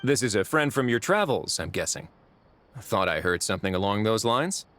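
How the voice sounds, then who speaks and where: A man's voice: fairly low pitch, animated, brisk, very noisy, natural voice. A man voicing a laid-back male authority figure in a video game, dry, casual and understated. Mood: wry.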